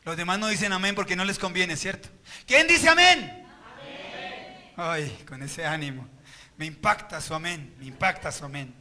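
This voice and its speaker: Colombian man, pastor, very high voice, piercing bright tone, preachy rhetorical questions. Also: lively intonation, moderate pace, slight background noise. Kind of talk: sermon. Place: church service.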